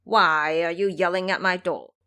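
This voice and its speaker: A woman's voice: fairly low pitch, fairly even intonation, normal speed, pushed and bright, clean tone. A woman voicing a menacing old male monster king in an audio drama, taunting and commanding.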